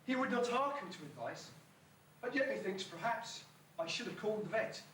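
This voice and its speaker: Guy acting a mock-tragic panto servant, high voice, far off in an echoey hall. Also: sing-song, normal speed, a bit bright. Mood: mock mourning. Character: mournful loyal servant of a dying beast, archaic speech. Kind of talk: amateur pantomime rhyming monologue. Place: school hall stage, far from the microphone. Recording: echoey school hall, distant, noisy old tape.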